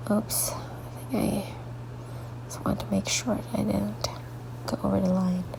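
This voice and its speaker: Gravelly, stuffy-voiced woman artist. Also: mid-range voice, level tone, measured pace, low-quality recording. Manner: soft, rambling, drifts into half-whispered asides. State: congested, stuffy nose; sleepy late at night. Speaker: artist hosting a coloring tutorial. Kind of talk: casual coloring tutorial narration.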